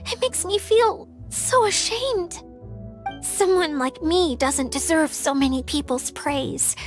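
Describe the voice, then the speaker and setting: A woman's voice: very high-pitched, slow pace, faint music behind. An actress playing a bashful, earnest young female water spirit, wistful and selfless, in a video game. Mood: ashamed, bashful. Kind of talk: video game character acting.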